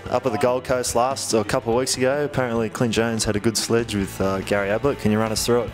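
A young Australian man hosting casual locker-room interviews on a handheld mic, asking quick, matey questions. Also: mid-range voice, level tone, over music. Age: young adult. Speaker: club video host. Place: club gym and change rooms indoors.

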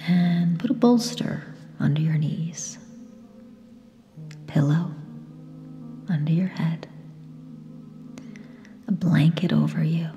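Woman, meditation guide, lowish pitch, soft breathy near-whisper, extremely unhurried, gentle short phrases. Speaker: yoga nidra guide. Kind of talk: guided meditation, slow and soothing. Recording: long reverb, soft music behind. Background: sound bath music.

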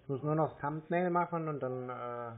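A man chatting casually and hesitantly, rambling and slow to get to the point. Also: a little high. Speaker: scam-baiting YouTuber playing a naive customer on the phone. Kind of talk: casual phone chat. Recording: narrow, tinny phone-like sound.

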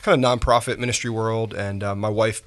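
A pastor in his forties, a man telling his story softly in a reflective interview, pausing often with fillers. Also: lowish voice, steady tone. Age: 40s. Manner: soft-spoken, halting with frequent fillers. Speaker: pastor and podcast guest.

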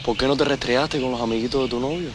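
A man's voice: medium pitch, quick pace, natural voice. An actor playing an angry, jealous young man with a Cuban accent, brusque and slangy, in film dialogue. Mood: indignant. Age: young adult. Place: outdoors in a wooded park. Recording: old film, noisy outdoor birdsong ambience.

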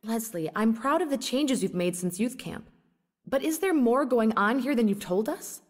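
A woman's voice: fairly high pitch, animated, moderate pace, darkish tone. A woman playing a concerned mother in an audio drama, probing with questions.

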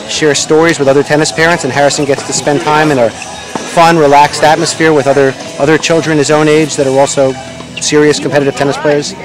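A tennis parent from Florida giving a measured interview to camera outdoors near the courts. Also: male voice, a little high, rough recording.

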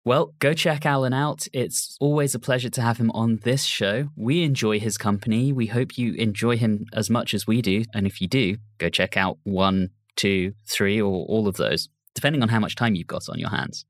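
A British man hosting a podcast, giving his review and chatting in a measured, articulate way. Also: on the low side, dry recording, clean studio sound. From Britain. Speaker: podcast host.

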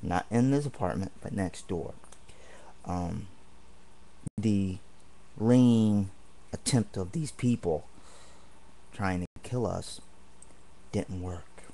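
A man's voice: lowish voice, dark tone. A man ranting indignantly and at length in a home video, repeating himself as he rambles. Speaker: vlogger. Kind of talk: angry home video rant. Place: home apartment.

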